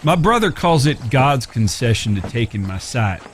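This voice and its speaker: A man plays a charming blind man of the Old West in an audio drama. His mid-pitched voice moves with lively inflection, courtly and playfully self-deprecating. Outdoor ambience and noise sit clearly behind him. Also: normal speed, close to an everyday voice. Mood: gently confident. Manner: courtly, folksy phrasing, playfully flirtatious.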